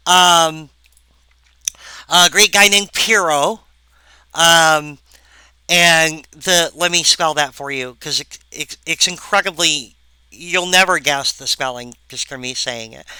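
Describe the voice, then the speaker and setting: A woman giving a recorded philosophy lecture, halting and full of wry asides to the viewer. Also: deep voice, sharp tone, clear, resonant tone, dry recording, poor audio.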